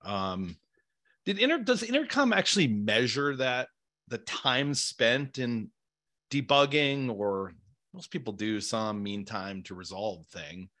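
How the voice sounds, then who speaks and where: A man's voice: medium pitch, animated, slow pace, bright, forceful tone. A middle-aged man interviewing casually, working his way to questions in a musing, roundabout manner. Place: small home office, indoors. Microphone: desk microphone on boom arm.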